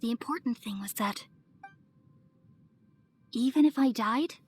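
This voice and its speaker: A woman playing a serene, wise and motherly goddess in an anime-style video game, speaking gently and solemnly. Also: fairly high pitch, measured pace, a little hiss or hum, lightly acted. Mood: calm, resigned. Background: music.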